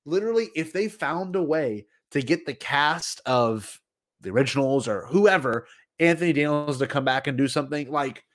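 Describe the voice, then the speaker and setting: A male podcast co-host with a moderately high voice talks casually as a fan. He is keen and emphatic, peppering his loose, conversational delivery with slang. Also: animated, moderate pace.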